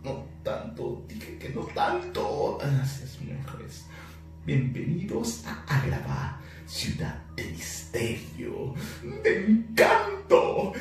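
A man voicing a jovial, wheedling Arabian street merchant in a theatrical animated musical style. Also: fairly high pitch, takes it slowly, reverberant, over music. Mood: effusive, salesmanlike. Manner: theatrical, salesman-like showmanship. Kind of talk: animated musical voice-over demo.

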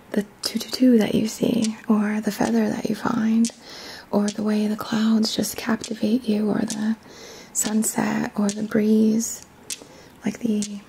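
A woman in her thirties whispers gently and soothingly in a halting, breathy voice, hosting an ASMR guided healing session up close to the mic. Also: mid-range voice, rough recording. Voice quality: breathy whisper, mostly unvoiced. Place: small quiet indoor room. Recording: faint background noise.

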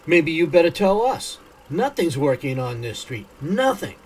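Radio play: confrontational suburban man played by a man, suspicious, lively intonation, faint noise behind. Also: on the high side, unhurried. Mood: accusing.

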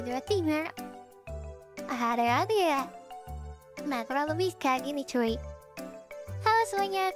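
Man as a high, childlike anime girl. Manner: testing greetings in short phrases. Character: cute high-pitched young female anime streamer. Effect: real-time AI voice changer.

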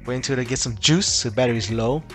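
A man's voice: mid-range voice, fast, a bit bright, faint music behind. A man casually walking viewers through a phone repair tutorial, loose and rambling with frequent restarts. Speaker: tech tutorial host. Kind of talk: casual screen-recorded tutorial.

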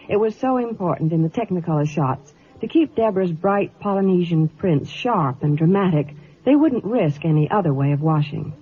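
A woman's voice: mid-range voice, husky. An American woman giving scripted 1950s radio gossip and advertising with a chatty, polished sales delivery. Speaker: Hollywood reporter, ad pitchwoman. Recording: tinny, fuzzy old radio broadcast.

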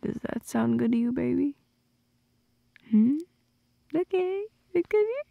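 Playful young girlfriend played by a woman for ASMR roleplay: highish, breathy, teasing, recorded close and dry. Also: animated, unhurried, no echo, narrow, tinny audio, full character voice. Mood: tender, coaxing. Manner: intimate, often breathy and whispered, giggly teasing. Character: playful, affectionate young girlfriend on a night drive.

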